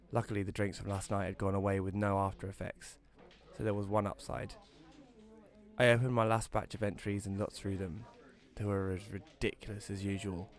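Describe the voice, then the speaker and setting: A man's voice: lowish voice, lightly acted. A British man playing a wry, grumbling advice columnist who narrates an audio drama in a conversational first-person style. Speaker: narrator and lead character.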